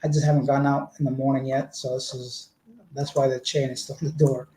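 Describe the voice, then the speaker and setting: Mellow-voiced man in an echoey room. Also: mid-range voice, flat delivery, a little fast. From Canada. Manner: chatty, rambling explanations. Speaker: vlogger. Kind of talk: livestream, answering viewer comments. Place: indoor home room.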